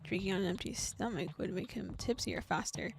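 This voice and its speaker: A woman voicing a scheming young male demon who calmly narrates in the first person while hunting a victim, reading a visual novel aloud. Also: medium pitch, brisk, vocal fry, slight background noise.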